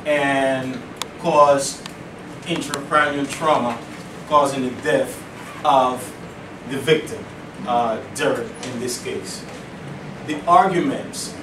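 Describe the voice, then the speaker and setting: A man speaking as a prosecutor in a mock trial, delivering a formal statement in a deliberate, halting way. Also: on the high side, far from the mic. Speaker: law student acting as prosecutor. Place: echoey indoor room, distant microphone, noise behind. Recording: echoey room from afar, noisy.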